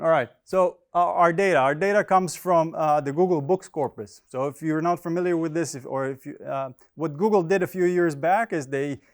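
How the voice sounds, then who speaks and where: A man, a native Hebrew speaker, presenting academic research in a casual, hesitant way with many fillers and dry humor. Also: on the high side. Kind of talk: academic talk with slides.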